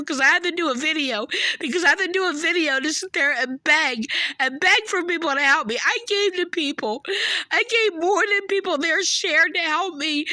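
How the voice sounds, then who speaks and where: A woman's voice: very high-pitched, bright, forceful tone, no echo. A distraught woman with a cold and a failing voice, rambling emotionally into her phone. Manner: distraught, rambling, repeats "or whatever". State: has a cold, losing her voice. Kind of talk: emotional phone video rant.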